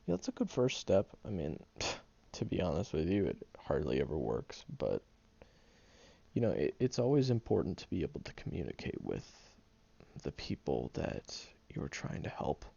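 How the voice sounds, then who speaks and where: A man's voice: low voice, creaky, warm tone, breathy edge, heavily acted. A man voicing a prickly young man who secretly cares, speaking straight to the listener in an audio roleplay, grumbling and hesitant but tender underneath. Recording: dull lo-fi recording.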